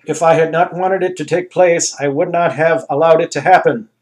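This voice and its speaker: A man's voice: on the high side, fairly even intonation, a little mellow, voice pushed up, lightly acted. A man voicing a pompous talking anus who thunders commands like a ruler in a comic story. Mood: grandiose. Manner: grandiose, slow, commanding declarations. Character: pompous talking male anus tyrant, booming.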